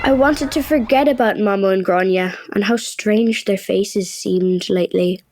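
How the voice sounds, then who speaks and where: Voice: mid-range voice, moderate pace, darkish tone, a little hiss or hum, lightly acted. A performer playing a cross, wistful young boy, telling his story in a confiding, reflective way in a children's audio drama. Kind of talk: first-person narration in children's audio drama. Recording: music clearly behind the voice.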